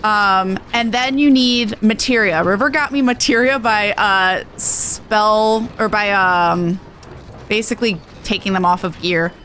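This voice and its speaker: A woman's voice: mid-range voice, unhurried, fairly bright tone, slight background noise. A woman game streamer giving a casual walkthrough in a chatty, slangy, informal way. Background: game music.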